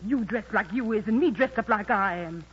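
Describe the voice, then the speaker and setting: A woman's voice: mid-range voice, moderate pace, long reverb tail, slight background noise, lightly acted. An actress playing a bold, restless young woman in a 1940s radio drama, sassy and defiant, heard on a dull old broadcast recording.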